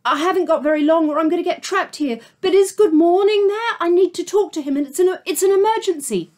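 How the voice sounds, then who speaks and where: A woman's voice: very high voice, a little fast, a little mellow, voice pushed up. A woman reading a storybook aloud, voicing an anxious boy who hurries and blurts out his words. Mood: urgent.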